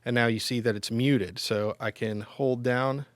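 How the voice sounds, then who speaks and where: A man with a mid-range voice walks through a product review. He explains things in a measured, informal manner. The sound is dry, with very little room in it. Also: mid-range voice, steady tone, no room sound. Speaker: gear reviewer. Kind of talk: semi-scripted product review narration.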